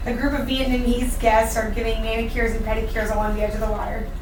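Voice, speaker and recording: A noisy, echoey room surrounds a woman narrating a comedy script. She reads stage directions in a fairly high voice, from some distance off. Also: little pitch movement, fast.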